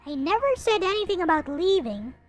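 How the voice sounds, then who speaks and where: Woman acting a high, snappy, bitter young grouch. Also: sing-song, brisk, soft background music. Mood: angry, brusque. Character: bitter, irritable young person losing their sight.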